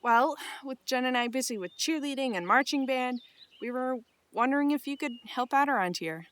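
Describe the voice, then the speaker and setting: A woman's voice: high-pitched, animated, moderate pace, fairly bright tone. A woman playing a friendly, persuasive young girl in an audio drama. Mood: asking a favor.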